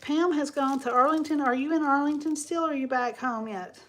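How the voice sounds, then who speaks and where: A woman's voice: high voice, fairly quick, fairly bright tone, slight background noise. A woman, chatting casually with viewers on a live stream while she crafts. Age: 40s. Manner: chatty, talks to viewers and reads comments aloud. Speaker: craft livestream host.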